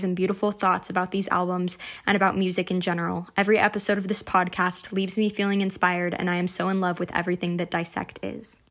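A woman from Arizona reading an enthusiastic listener message to a podcast. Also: medium pitch.

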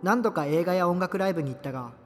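Radio drama role: hesitant, earnest young culture geek, wordy and apologetic, over a music bed. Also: male voice, sits high, animated, fast. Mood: resigned narration. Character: awkward, earnest, apologetic subculture-obsessed young man. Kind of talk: naturalistic radio drama dialogue and monologue.

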